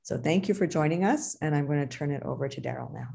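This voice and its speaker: A woman with a low voice opens an online event with solemn remarks. She speaks earnestly and pauses on hesitant fillers. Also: creaky, mellow. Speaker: event organizer. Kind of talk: formal opening remarks.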